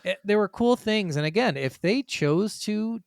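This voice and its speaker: A man's voice: high-pitched, animated, measured pace, creaky, dry recording, studio quality. A man co-hosting a gaming podcast in a casual, blunt way, swearing freely as he chats. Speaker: podcast co-host.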